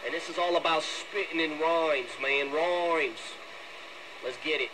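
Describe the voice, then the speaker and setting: Higher-voiced hip-hop hype man on radio. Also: steady tone, unhurried. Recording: noisy FM radio through speaker.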